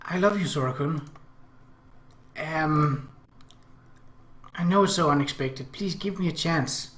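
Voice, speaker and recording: A man's voice: a little high, slow, fairly bright tone, roomy sound. A man voicing a shy, eager teenage schoolgirl in love, reading from a visual novel. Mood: shy, hopeful. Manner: bashful, eager. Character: shy, lovestruck teenage schoolgirl confessing her feelings.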